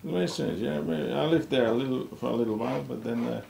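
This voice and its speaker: A man's voice: mid-range voice, fast talker, gravelly. A man with a German accent chatting casually and musingly as an interviewer. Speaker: filmmaker interviewer. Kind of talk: casual conversation. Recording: loud background noise.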